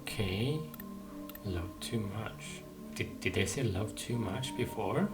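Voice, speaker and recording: A man's voice: on the low side, takes it slowly. A man giving casual video game commentary, thinking aloud and repeating phrases over game music.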